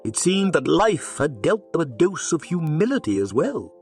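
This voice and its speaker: A man narrating a scripted dramatic story in a measured, expressive read-aloud delivery. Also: fairly high pitch, soft background music. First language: English.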